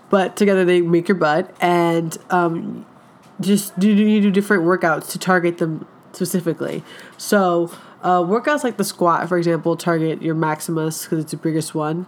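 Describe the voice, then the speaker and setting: A young woman, a wellness coach, talking casually on her podcast with a stuffed-up nasal voice from a cold, full of filler words. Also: fairly low pitch. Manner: chatty, lots of filler words.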